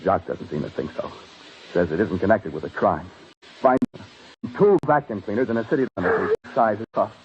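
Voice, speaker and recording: Casting: anguished, urgent cop, very animated pitch, vintage crime radio drama, muffled hissy recording. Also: male voice, moderate pace. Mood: tender, weary. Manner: urgent, emotional, clipped. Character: intense, anguished police detective defending his wife. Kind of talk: mid-century radio crime drama acting.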